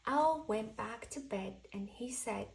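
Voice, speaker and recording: A woman's voice: fairly high pitch, slow, gravelly. A woman reading a children's bedtime story aloud, expressively and dramatically, as if to young listeners. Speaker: children's book reader.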